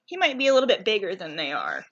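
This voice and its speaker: A woman's voice: mid-range voice, wildly swooping pitch, fast talker, a bit bright, dull audio. A woman narrating a children's storybook aloud, telling it expressively and putting questions to her young listeners.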